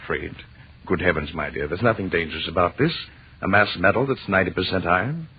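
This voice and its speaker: Deep-voiced, brusque professor. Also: male voice, quick pace, slightly rough, full character voice. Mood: dismissive. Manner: emphatic, impatient. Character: brusque, dismissive, excitable male scientist professor and husband. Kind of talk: 1940s radio acting. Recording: tinny, hissy old radio recording.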